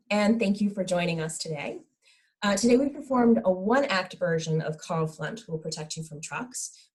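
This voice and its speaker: A woman hosting an online question-and-answer discussion as its moderator. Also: mid-range voice. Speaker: moderator of a theatre talkback. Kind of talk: hosted panel discussion over video call.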